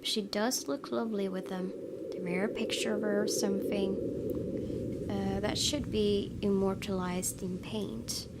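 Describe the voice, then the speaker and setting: A woman's voice: medium pitch, fairly even intonation, a little slow. A woman hosting a gaming video, reading a visual novel aloud expressively and slipping into casual asides. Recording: noisy background.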